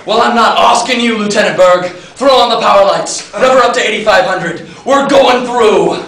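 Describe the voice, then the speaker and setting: A man's voice: high voice, normal speed, fairly bright tone, voice pushed up. A man playing a stern, imperious Navy commander, barking clipped orders in a theatrical stage performance. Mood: commanding, urgent. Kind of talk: theatrical dramatic interpretation performance. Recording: echoey room, distant mic, noisy.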